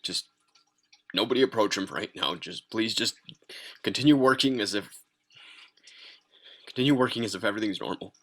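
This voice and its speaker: A man playing a hesitant, caring fast-food manager in an amateur table read, speaking haltingly and repeating himself. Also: on the low side, a bit bright. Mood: subdued.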